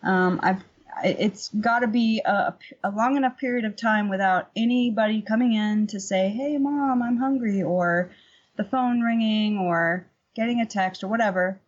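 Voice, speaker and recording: A middle-aged woman with a husky voice, talking candidly and informally as an interview guest, rambling a little. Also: medium pitch, normal speed, lo-fi sound. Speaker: painter, podcast guest. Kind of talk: casual interview chat.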